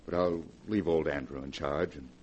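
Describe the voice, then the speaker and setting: An actor playing a devoted, troubled young painter, earnest and hesitant, in the dramatic style of 1940s radio. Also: male voice, extremely low pitch, steady tone, moderate pace, a little mellow, dull audio. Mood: agreeable. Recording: faintly noisy 1940s radio recording.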